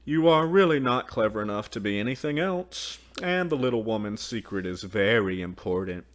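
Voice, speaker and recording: A man's voice: lowish voice, animated, normal speed, natural voice. A man voicing an odd young village girl who talks in prim, teasing riddles and sounds pleased with herself.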